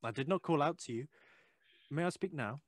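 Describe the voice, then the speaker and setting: A man playing a curious, polite gentleman visitor in an audio drama, asking probing questions courteously. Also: on the high side, expressive, brisk, no echo.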